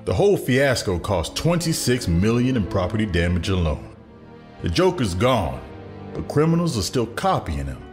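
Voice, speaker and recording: Crusading district attorney, low animated voice, persuasive, hard-line, audio drama, music behind. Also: male voice, normal speed. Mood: determined, indignant.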